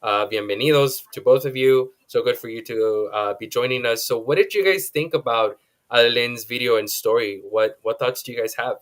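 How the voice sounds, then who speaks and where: A man moderating a panel discussion, speaking in a measured, affirming way with frequent ums. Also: medium pitch.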